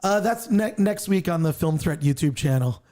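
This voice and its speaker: A man with a rough voice, chatting casually on a livestream and often stumbling over his words. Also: fairly high pitch, lively intonation, mellow. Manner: halting, stumbles and repeats words. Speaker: guest co-host sharing a trailer.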